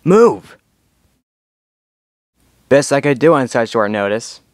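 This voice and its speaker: A man's voice: medium pitch, lively intonation, takes it slowly, no room sound. Guy doing a heroic young dragon leader for a cartoon, determined and bossy in a good way, dry recording no room sound. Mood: urgent, commanding. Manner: earnest, commanding, rallying. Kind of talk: scripted cartoon fandub audition lines.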